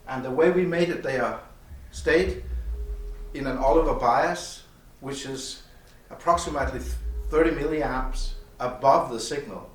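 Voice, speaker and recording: A man who speaks as a gives a halting technical explanation of audio gear as its designer. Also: mid-range voice. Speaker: loudspeaker designer presenting gear at an audio show. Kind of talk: technical product explanation in conversation. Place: indoor demo room at an audio show. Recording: echoey room, distant mic, faint noise.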